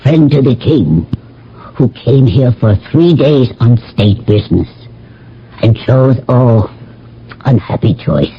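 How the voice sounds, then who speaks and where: A man's voice: mid-range voice, expressive, slow pace, a little hiss or hum. A man playing a devoted, philosophical slave of antiquity in a radio drama, speaking in a formal, dramatic storytelling manner. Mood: grave, urgent. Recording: dull old radio, faint music.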